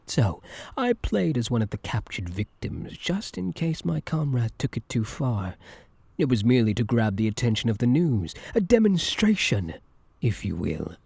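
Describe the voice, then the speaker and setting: A man playing an obsessive, infatuated supervillain in an intimate ASMR roleplay, soft-spoken and teasing, with a breathy voice that dips into whispers. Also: mid-range voice, sing-song, dry recording, heavily acted. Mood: smug, musing. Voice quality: breathy, gravelly, dropping at times into whispers. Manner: teasing, unhurried, falsely gentle.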